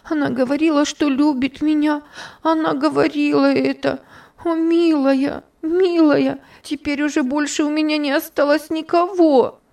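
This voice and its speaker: A woman's voice: high voice, fairly even intonation, a little slow, vocal fry, dark tone, breathy edge, recorded from a distance, pitched above the performer's own voice, exaggerated voice. A woman voicing a grief-stricken young girl who wails and pleads through tears. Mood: despairing. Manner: wailing, tearful.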